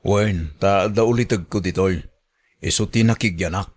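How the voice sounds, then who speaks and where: A man's voice: sits low, moderate pace. An actor with an Ilocano accent playing a familiar, flirtatious and sympathetic village man in a radio drama. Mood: curious, chatty.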